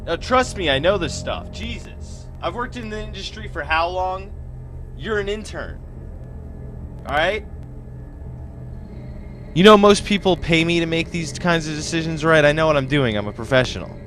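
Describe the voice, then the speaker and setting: A man's voice: on the high side, expressive, moderate pace, long pauses, fairly bright tone, faint music behind. A man playing a boastful, awkward monster-show host, rambling and defensive as he presents a mock documentary. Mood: defensive, irritated.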